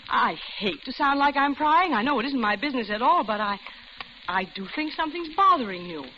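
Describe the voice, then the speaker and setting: A woman's voice: sits high, lively intonation, brisk, bright, forceful tone. A muffled, noisy vintage radio recording of an older widow. She is genteel and talkative, coaxing with an uneasy edge, in a 1940s drama manner. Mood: concerned, wistful. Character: chatty, uneasy older widow, diner customer.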